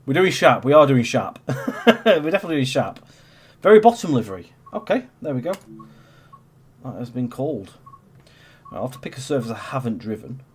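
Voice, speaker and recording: A man with a blocked-up, cold-ridden voice hosts a relaxed gameplay stream. He rambles and restarts, filling gaps with ums as he reads the chat. His pitch sits right in the middle for a man. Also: normal speed, pauses often, a little hiss or hum. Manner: rambling, chatty, with many fillers and restarts. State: congested with a cold. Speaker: stream host. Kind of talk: casual gameplay livestream chat.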